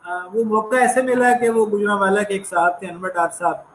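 Interview guest, male, slight American accent, earnest and reflective, higher voice, roomy recording. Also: steady tone, moderate pace, fairly bright tone, a little hiss or hum. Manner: earnest, reflective, sprinkles English phrases. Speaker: entrepreneurship professor, guest. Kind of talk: conversational interview storytelling.

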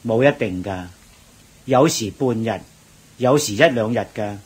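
An actor playing a patient, deferential Buddhist monk who explains things gently and in a measured way in a radio drama. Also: male voice, medium pitch, slightly rough. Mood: explaining. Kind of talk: Cantonese radio drama acting.

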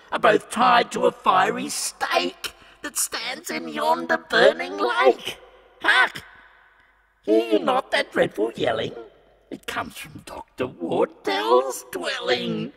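Man doing a shrill shouting Satan. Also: gravelly, heavily acted. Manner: shouting, sneering, high strained voice. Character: Satan, scornful male devil who mocks the poor. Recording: long reverb hall.

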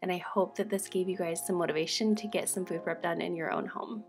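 A woman's voice: on the low side, steady tone, brisk. A young woman giving an upbeat, chatty voice-over narration that explains her meal prep. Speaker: homemaking vlogger. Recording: voice-over with pop music underneath.

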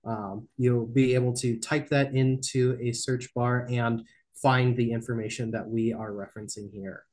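A man's voice: medium pitch, flat delivery, darkish tone. A young man presenting camp rules from slides on a video call, reading points out with some fillers and restarts. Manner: reads slide points, spells out links slowly, some fillers. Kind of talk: slideshow briefing. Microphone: webcam on video call.

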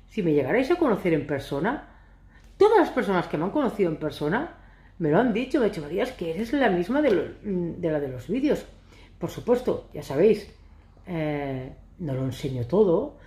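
A woman in her thirties, from Catalonia, chatting to camera in a casual, ironic, rambling way, a little hoarse. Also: lowish voice, sing-song, moderate pace, warm tone, roomy sound. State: hoarse from shouting. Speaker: vlogger. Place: living room sofa, indoors. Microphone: phone camera at arm's length.

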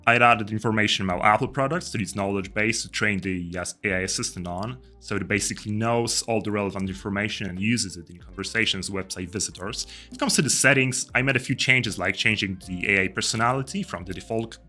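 A young man with a fairly low but bright, forceful voice walks through a software tutorial step by step. His delivery is casual and instructional, picked up by a close desk microphone, with music underneath. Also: fast, few pauses. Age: young adult. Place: indoor room. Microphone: desk microphone close to the speaker.

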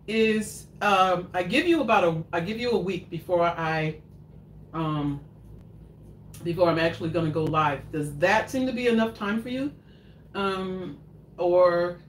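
Older woman, chatty home cook, rambling answers, roomy kitchen, heard from a distance, noisy background. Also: lowish voice. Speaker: cooking show host. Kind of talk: casual live chat while cooking. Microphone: far camera in front of counter.

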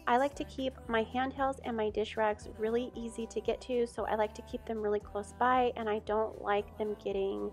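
A woman's voice: on the high side, fairly even intonation, moderate pace, hardly any pauses, faint music behind. A woman in her thirties chatting casually as she explains a home sewing and cleaning routine step by step. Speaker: home vlogger. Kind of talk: casual tutorial voice-over.

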